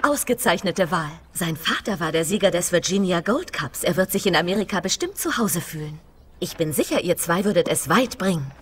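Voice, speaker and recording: A woman playing the composed, gracious head of a riding academy, speaking politely and with patient authority, as in an animated film. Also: lowish voice, a little fast, slightly rough. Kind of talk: animated film dub acting.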